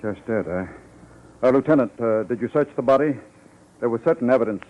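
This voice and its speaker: A man's voice: medium pitch, a little fast, a little hiss or hum, close to an everyday voice. A man acting an anxious, self-important newspaper editor with a flustered, formal manner in a 1950s radio drama. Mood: officious, uneasy. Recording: dull old radio recording.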